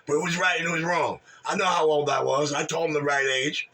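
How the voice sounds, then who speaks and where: A man's voice: fairly high pitch, little pitch movement, fairly quick, bright, forceful tone. A man, bragging and trash talking profanely in casual chat. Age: 60s. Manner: brash, boastful, profane trash talk. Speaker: regular on a call-in chat room show. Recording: distant mic.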